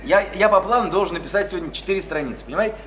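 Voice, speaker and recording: An actor in a Russian radio play playing a flustered, squeamish, self-important lodger who stammers and repeats himself fussily. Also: male voice, high voice, expressive, rapid-fire. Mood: awkward, evasive. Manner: stammering, repetitive, fussy, indignant. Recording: noisy, roomy radio play recording.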